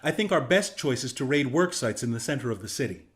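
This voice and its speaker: A man plays a young griffin rebel from a video game, a former soldier. His medium-pitched voice is conversational and earnest. He speaks plainly, like someone explaining a plan to a comrade. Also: animated, fast talker, natural voice. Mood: purposeful, explaining. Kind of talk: video game character dialogue.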